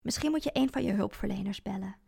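A woman reading the part of a calm, patient ex-husband in a story, measured and deliberate. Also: mid-range voice, vocal fry, breathy edge.

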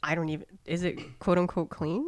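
A woman co-hosting a podcast in casual chat, curious and full of quick questions. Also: on the low side, fairly even intonation, dry recording. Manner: curious, asks lots of quick questions, reacts with laughs and gasps. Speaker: podcast co-host.

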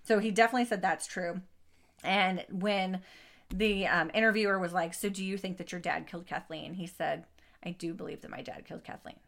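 A woman's voice: lowish voice, fairly even intonation, brisk, creaky, a bit bright. A woman co-hosting a casual true crime podcast, retelling the case to a friend, acting out quoted speech and swearing freely. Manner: storytelling, acts out quotes, swears. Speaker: podcast co-host.

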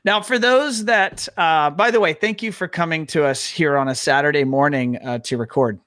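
A man hosting a podcast, reading a scripted introduction and then chatting in an upbeat, animated way with small restarts. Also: a little high, moderate pace, no echo, studio quality. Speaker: podcast host.

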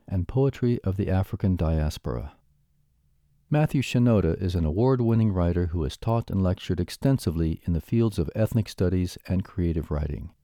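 A man's voice: sits low, dark tone, no echo, studio quality. A man reading a scripted introduction as the announcer of a recorded program.

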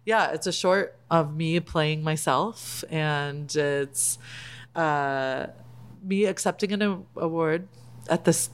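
Animated, laughing woman guest. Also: sits low, takes it slowly, clean tone. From Palestinian. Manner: animated, laughs often, acts out quoted lines. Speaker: guest, chef and cookbook author. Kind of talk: casual podcast interview.

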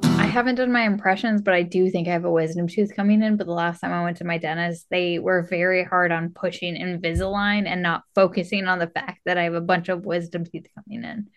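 A woman's voice: on the low side, steady tone, a little fast, faint music behind. A woman co-hosting a podcast, chatting casually and comedically about movies with jokingly emphatic exclamations. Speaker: podcast co-host.

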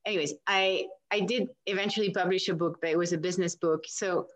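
French-accented woman, low, laughs often. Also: steady tone, fast. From France. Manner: warm and chatty, laughs often, many restarts. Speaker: guest. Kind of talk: casual interview answers.

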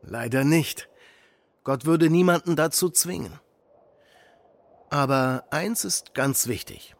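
An actor playing a gentle, middle-aged widowed father who patiently explains faith to children in a children's audio drama. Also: on the high side, slow pace, dry recording. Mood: patient, earnest. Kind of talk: German children's radio play acting.

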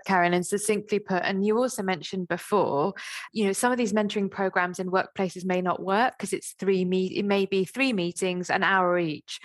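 A woman's voice: mid-range voice, no room sound. Encouraging woman podcast host, dry sound. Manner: encouraging, asks layered questions. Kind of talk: interviewing.

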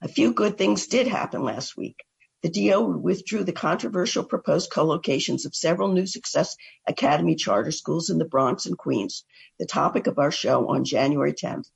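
A woman hosting a radio talk show and reading an education news recap, hoarse from a cold. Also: low voice, animated, moderate pace. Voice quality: gravelly and husky.

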